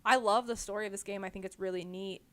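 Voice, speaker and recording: A woman's voice: mid-range voice, fairly even intonation, quick pace, fairly bright tone. Emphatic American woman in casual chat. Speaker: book club podcast co-host.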